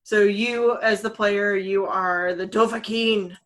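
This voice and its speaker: A woman with a mid-pitched voice chats casually as a podcast co-host. Her delivery is informal and enthusiastic, with salty language, like someone sharing a favorite hobby with friends. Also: steady tone, takes it slowly, a bit bright. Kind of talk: casual panel chat about games and movies.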